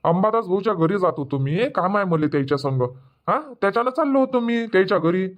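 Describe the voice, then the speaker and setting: A man playing a high-voiced, grumbling village husband. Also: animated, brisk. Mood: irritated. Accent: Varhadi Marathi (Vidarbha). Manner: grumbling, argumentative. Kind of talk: Marathi comedy cartoon dubbing.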